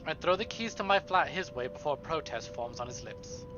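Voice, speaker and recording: A male streamer reading visual novel narration aloud in an expressive, dramatic way, with casual asides. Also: on the high side, normal speed, lo-fi sound. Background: game music.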